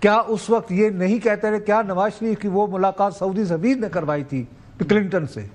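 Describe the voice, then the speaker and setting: A man's voice: high-pitched, normal speed, a little mellow. A Pakistani man debating politics as an analyst, argumentative and fond of rhetorical questions.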